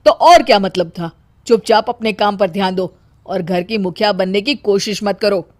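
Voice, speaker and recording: A woman voicing a stern, dismissive young husband in an animated family story. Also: mid-range voice, moderate pace, background noise, lightly acted.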